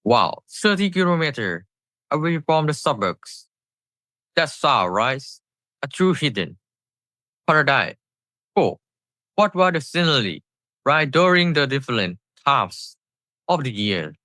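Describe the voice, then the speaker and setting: Slow, bright-voiced young Thai man. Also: medium pitch, sing-song, no room sound. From Thailand. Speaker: student presenter. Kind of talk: scripted class presentation.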